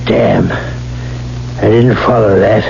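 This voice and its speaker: A man's voice: fairly low pitch, fairly even intonation, drawn-out words, gravelly, a little mellow, exaggerated voice. A man acting in a 1970s radio drama as a proud, stubborn English father bound by honor, formal and grave. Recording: muffled, hissy old radio broadcast.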